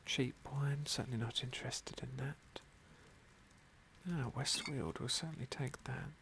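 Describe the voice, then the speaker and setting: A man softly narrating a fantasy game as an ASMR roleplay, unhurried and with formal, genteel phrasing. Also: medium pitch, fairly even intonation, gravelly, slight background noise. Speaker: host of an ASMR game playthrough.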